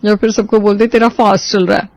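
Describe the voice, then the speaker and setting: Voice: medium pitch, little pitch movement, brisk, no room sound, close to an everyday voice. A performer voicing a teasing, blunt young relative or friend in a family comedy story. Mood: mocking.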